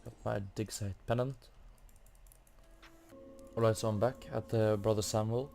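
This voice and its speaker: A man's voice: fairly low pitch, fairly even intonation, unhurried, vocal fry, a little mellow, faint music behind. A man giving casual commentary as he plays through a game, hesitantly thinking aloud. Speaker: gamer narrating a quest playthrough.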